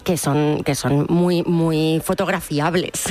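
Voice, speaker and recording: A woman's voice: low voice, fairly even intonation, slow, a little mellow. A woman from gives conversational radio commentary, often repeating and rephrasing as she goes.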